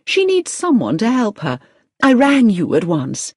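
A woman voicing a fussy, excitable female employment agency manager in a storybook, speaking effusively and emphatically. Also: fairly high pitch, a little slow, no room sound, clean studio sound, pitched above the performer's own voice. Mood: excited.

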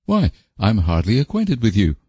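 A man's voice: medium pitch, sing-song, normal speed, warm tone, slightly rough, dry recording, pitched above the performer's own voice, full character voice. A man voicing a polite, dignified young woman schoolteacher in a storybook, with a proper, prim manner. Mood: indignant. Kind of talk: audiobook character voice.

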